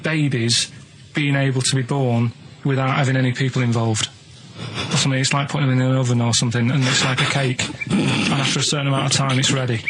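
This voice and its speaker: A man's voice: mid-range voice, level tone, quick pace, noisy background. An English man chatting casually as a regular on a radio show. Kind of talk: casual chat.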